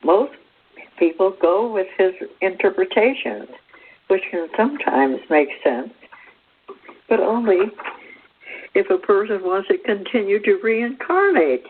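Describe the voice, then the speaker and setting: A woman presenting a spiritual talk in a measured, read-aloud narrating style over a tinny, phone-like radio line. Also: medium pitch, animated, slight background noise.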